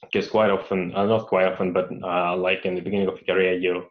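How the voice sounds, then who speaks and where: Low, flat-voiced engineer. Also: male voice, quick pace. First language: Russian. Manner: hesitant, many restarts and fillers. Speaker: mechanical engineer. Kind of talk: answering read-out questions in a career Q&A. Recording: dull lo-fi audio.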